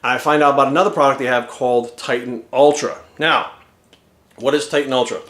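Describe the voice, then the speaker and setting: A man in his forties giving an energetic, rambling testimonial to camera. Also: mid-range voice, brisk, echoey room. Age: 40s.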